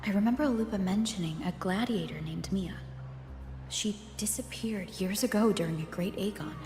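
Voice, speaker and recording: An actress playing a determined young woman adventurer in a video game, measured, analytical and serious. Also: medium pitch, a little mellow, echoey room, long reverb tail, lightly acted. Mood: pensive.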